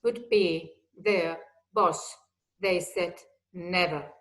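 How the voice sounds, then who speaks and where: Slow-speaking woman. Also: on the low side, fairly even intonation, a bit bright. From Finland. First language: Finnish or Swedish. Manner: slow, deliberate, simple phrasing. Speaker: guest executive. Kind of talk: personal talk.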